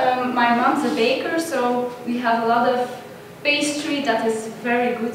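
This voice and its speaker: A woman, a lecturer giving a guest lecture with many pauses and "uh"s. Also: high-pitched. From Belgium. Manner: friendly, frequent "uh" pauses. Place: large echoey lecture hall. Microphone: distant room mic. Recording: echoey hall from far off, noisy.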